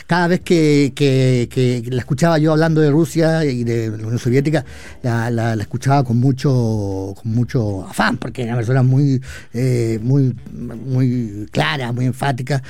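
A Chilean man and radio columnist talking in a casual chat, his speech rushed and halting with many restarts. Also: medium pitch, a little mellow, no echo. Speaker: columnist guest. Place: radio studio.

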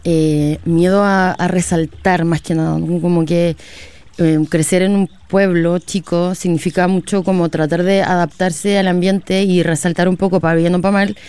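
Young chilean woman, guest writer, chatty, informal, smooth clear voice, dry sound. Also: fairly low pitch, fairly even intonation, normal speed, dark tone, no room sound. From southern Chile. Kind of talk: casual conversation. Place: outdoor garden.

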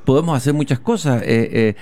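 A man hosting an interview, speaking haltingly with many "eh" restarts and repeated words. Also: medium pitch, dark tone. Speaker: interviewer, host. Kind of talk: conversational interview. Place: outdoor school courtyard.

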